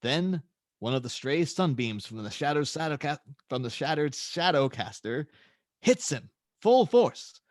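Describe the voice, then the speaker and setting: A man's voice: a little high, big pitch swings, measured pace, no room sound, studio quality. A man reading stage directions as the narrator of a dramatic superhero script table read.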